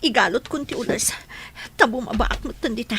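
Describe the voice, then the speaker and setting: A woman playing a nervous but determined captive, talking to herself with effort in a hushed radio drama monologue. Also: fairly high pitch, brisk, noisy background, lightly acted. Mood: tense determination. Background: music.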